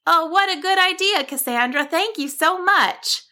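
A small, friendly storybook bird, voiced by a woman. She chirps along agreeably in a raised, bright voice. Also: high-pitched, moderate pace, pitched above the performer's own voice, full character voice. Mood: happy, warm.

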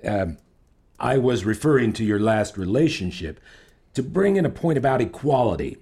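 An actor playing a middle-aged psychology professor in an audio drama, measured and patronizing, flattering with deliberate pauses. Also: male voice, mid-range voice, husky. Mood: smoothly persuasive. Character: smooth, flattering, condescending manipulative male psychology professor. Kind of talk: fiction podcast audio drama acting.

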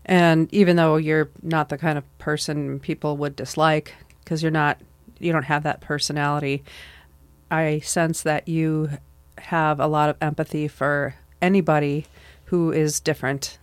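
A woman's voice: sits low, little pitch movement, a little slow, clean tone, dry recording. A middle-aged woman talking with her daughter in an earnest, reflective way, with many fillers. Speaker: mother. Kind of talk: casual conversation.

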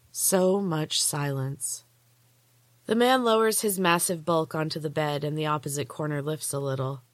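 A woman reading a first-person story aloud as author and host, in a measured, hushed, dramatic narrating style. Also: deep voice, no echo. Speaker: author and podcast host reading own book.

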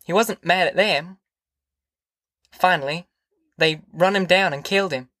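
A man's voice: high-pitched, measured pace, pushed and bright, no room sound, studio quality, voice pushed up. A man voicing an anxious, tender middle-aged farm mother in an audiobook, with a rural Southern American accent and a hesitant, earnest way of talking. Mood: earnest, pouring out. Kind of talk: audiobook character acting.

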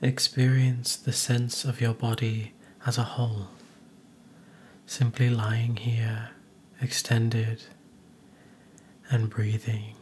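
A man narrating a guided meditation in a soothing, gentle, hushed manner with soft, breathy phrase endings. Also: medium pitch, completely flat delivery, takes it slowly, slight background noise.

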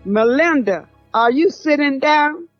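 Older grandmother, played by a woman, reflective and grieving. Slow, high-pitched audio drama read, muffled sound. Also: lively intonation, dry recording, soft background music. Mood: grave. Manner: slow, solemn, deliberate. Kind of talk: audio drama acting, diary poem recitation.

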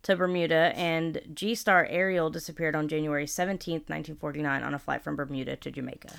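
Gravelly smooth Southern woman. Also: low voice, steady tone, fast, a bit bright. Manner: reads notes with fillers and restarts. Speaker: podcast co-host. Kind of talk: casual chat.

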